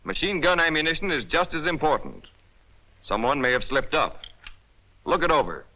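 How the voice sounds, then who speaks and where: Sharp, forceful 1940s American training film narrator, male. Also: a little high, expressive, moderate pace. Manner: emphatic, instructional, clipped directives. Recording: dull, band-limited 1940s film soundtrack.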